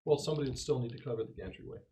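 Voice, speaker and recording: A man with a medium-pitched voice plays a tabletop role-playing game. He talks through plans casually, speaking in character with the other players. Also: expressive, rapid-fire, warm tone, a little hiss or hum.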